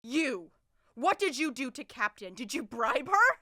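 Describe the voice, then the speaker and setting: A woman's voice: high voice, very animated, unhurried, pushed and bright, no echo, studio quality, exaggerated voice. A woman playing a defiant, desperate captive investigator in an audio drama, intense and emotional. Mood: angry, defiant.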